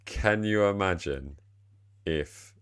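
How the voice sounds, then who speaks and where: A man's voice: low-pitched, slow pace, fairly bright tone, clear, resonant tone, no echo, clean studio sound. A tired man hosting a casual football chat, rambling and self-deprecating. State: tired, slightly deeper voice than usual. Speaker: podcast host.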